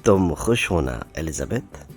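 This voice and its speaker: A man's voice: rumbling low, lively intonation, a little slow, faint music behind. A man with an Indian accent playing a genial, generous older father in an audio drama. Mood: generous, festive. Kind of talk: Hindi audio drama acting.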